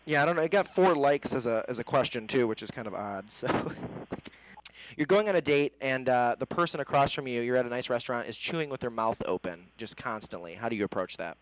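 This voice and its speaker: A man's voice: mid-range voice, expressive, brisk, low-quality recording. An American man working as an interviewer, chatting informally as he reads out questions in a casual interview. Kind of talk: casual phone interview, reading out reader questions.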